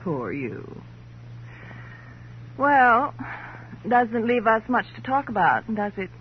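Brittle sarcastic widow, lively swings in her voice, muffled old radio crime show. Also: fairly high pitch, slow pace. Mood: sardonic, curt. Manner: sarcastic, flippant. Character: sardonic, brittle widow, weary and prickly. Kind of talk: 1950s radio crime drama acting. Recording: muffled vintage radio recording, faint hum.

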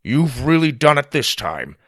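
A man voicing a stern old grandfather with a booming voice in a children's story. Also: sing-song, unhurried, a bit bright, dry recording, studio quality.

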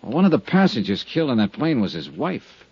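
An actor playing a tough, probing insurance investigator in a 1950s American radio drama, with a clipped, dry, needling delivery. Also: male voice, mid-range voice, wildly swooping pitch, brisk, husky, heavily acted. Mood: dry, wry. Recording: muffled vintage 1950s radio recording.

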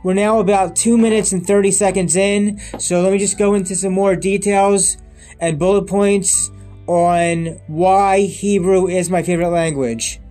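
A man's voice: high voice, fairly even intonation, unhurried, warm tone, faint music behind. A man in his thirties talking straight to his viewers in a loose, rambling monologue, circling back and restarting often. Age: 30s. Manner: rambling and repetitive, with many restarts and emphatic lists. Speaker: vlogger. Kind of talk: unscripted monologue to camera.

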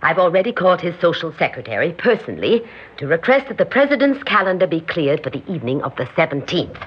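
An actress playing a commanding elderly hostess and conspirator in an old radio drama, speaking with deliberate, gracious authority. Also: female voice, on the low side, very animated, husky. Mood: brisk, commanding. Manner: imperious, deliberate, theatrically gracious. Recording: muffled, noisy old radio recording.

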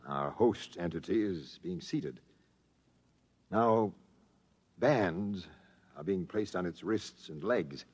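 Confident alien commander for vintage radio drama: low-voiced, authoritative, deliberate, widely swinging delivery. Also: male voice, expressive, a little mellow, breathy edge, exaggerated voice. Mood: curious, calm. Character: cool, confident male commander of invading microscopic aliens. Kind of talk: 1950s radio drama acting.

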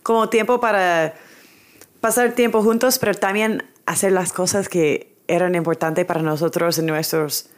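A woman's voice: on the low side, fairly even intonation, normal speed. A woman in her twenties, a native English speaker, chatting as a podcast guest in hesitant learner's Spanish with English words slipping in. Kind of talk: casual chat.

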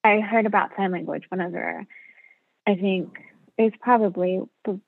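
Woman, native Spanish speaker, gravelly fry, thin phone-like line, dry sound, rambling casual guest. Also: mid-range voice, steady tone, normal speed, no echo. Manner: rambling, thinks aloud. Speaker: guest, mother. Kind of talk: casual interview chat.

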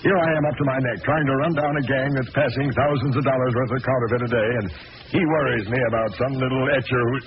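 An actor playing a busy, dismissive police commissioner with a brusque, skeptical manner in 1940s radio drama style. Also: male voice, medium pitch, steady tone, fast talker, natural voice. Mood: dismissive, impatient. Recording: muffled, noisy vintage radio recording.

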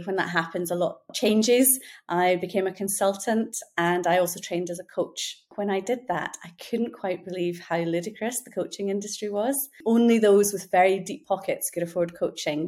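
Earnest woman in her forties, low-pitched. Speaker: guest, founder. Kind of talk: conversational interview answers.

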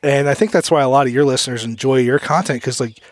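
A man's voice: mid-range voice, quick pace, no echo, studio quality. A man in his thirties chatting casually on a podcast, joking and laughing as he tells stories. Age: 30s. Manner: joking, laughs often, animated storytelling. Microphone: studio microphone.

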